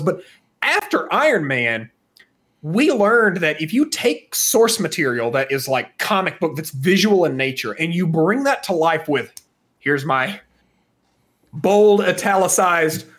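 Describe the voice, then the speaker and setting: A man's voice: high-pitched, a bit bright. A man co-hosting a podcast, talking casually and giving opinions with emphatic stress on key words. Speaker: podcast co-host.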